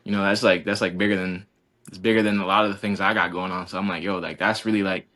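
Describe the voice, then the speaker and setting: A man's voice: low voice, flat delivery, fast, pushed and bright. A man in his twenties, a college basketball player, talking in a rambling, earnest way and saying "like" constantly. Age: 20s. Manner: rambling, heavy use of "like", earnest. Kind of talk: casual podcast conversation.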